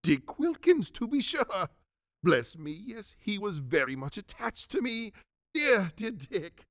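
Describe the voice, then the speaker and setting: A man's voice: sits high, very animated, measured pace, a bit bright, no echo, clean studio sound, heavily acted. A man playing a wistful, emotional old man in a radio drama, earnest and exclamatory. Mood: delighted, nostalgic.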